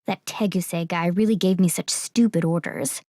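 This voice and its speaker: A woman's voice: lowish voice, steady tone, moderate pace, darkish tone, no echo, clean studio sound, natural voice. A woman playing a composed, condescending game guide who is secretly a villain, in an anime game dub. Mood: smug, bitter. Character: game guide girl revealed as smug, scheming villain. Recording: dramatic background music.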